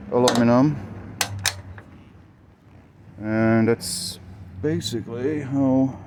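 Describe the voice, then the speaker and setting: A man whose first language is Romanian, talking through his motorcycle build in a casual, hesitant vlog, pausing often with fillers. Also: mid-range voice, creaky, background noise. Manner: hesitant, many fillers, thinking aloud.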